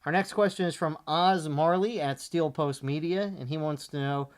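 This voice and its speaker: A man co-hosting a talk podcast, discussing sports casually and analytically, measured and point by point. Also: a little high, steady tone, clear, resonant tone. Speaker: podcast co-host.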